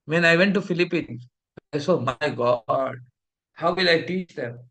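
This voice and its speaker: Indian English-accented man, middle-aged, fairly high voice, preachy teacher scolding his students. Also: a little slow, fairly bright tone. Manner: scolding, preachy, switches between English and Hindi. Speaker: teacher of a fellowship class. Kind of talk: lecture.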